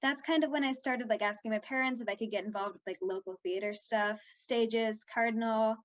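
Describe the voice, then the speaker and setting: High-voiced teenage girl, slightly dull recording. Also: steady tone, brisk. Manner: chatty, peppers talk with "like". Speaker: young actress being interviewed. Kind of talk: casual interview chat.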